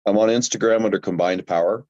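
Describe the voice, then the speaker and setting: Man, deep voice, understated, dull lo-fi recording. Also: fast, darkish tone, no room sound. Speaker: personal trainer, newcomer to acting. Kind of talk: casual self-introduction. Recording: dull, lo-fi microphone sound.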